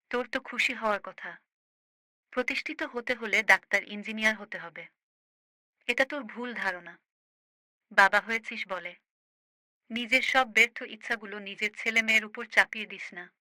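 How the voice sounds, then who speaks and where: A woman's voice: fairly high pitch, sharp tone, no room sound. A woman playing a firm, caring older sister in a cartoon, lecturing her grown brother in an emphatic, preachy way. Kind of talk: amateur cartoon voice acting.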